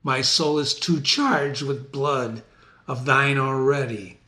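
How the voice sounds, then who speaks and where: A man's voice: medium pitch, slow pace, pushed and bright. A man playing Macbeth, a proud, defiant, doomed warrior king, declaiming archaic verse.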